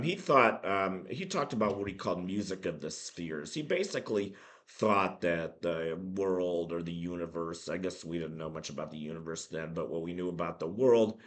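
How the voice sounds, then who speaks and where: A man with a hoarse voice from a cold, hosting a casual math lesson and explaining things with many ums, uhs and restarts. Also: low-pitched. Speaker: math channel host.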